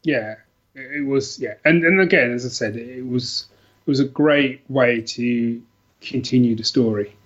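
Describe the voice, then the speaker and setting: A man's voice: medium pitch, moderate pace. A middle-aged British man chatting casually and reflectively as a podcast guest, often repeating and rephrasing as he thinks aloud. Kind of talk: casual chat about favourite books. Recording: dull, lo-fi podcast audio.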